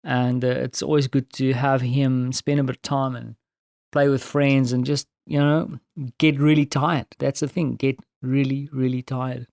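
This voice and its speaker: A tired man with a South African accent and Afrikaans as his first language, talking in a casual, reflective, halting solo audio diary. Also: medium pitch, flat delivery, creaky, darkish tone, no room sound, studio quality. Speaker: podcast host recounting his day.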